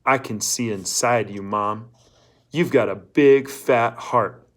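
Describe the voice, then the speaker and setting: A man's voice: medium pitch, slow, close to an everyday voice. Man doing X-Ray, a cocky teenage camp boy. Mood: cocky, blunt.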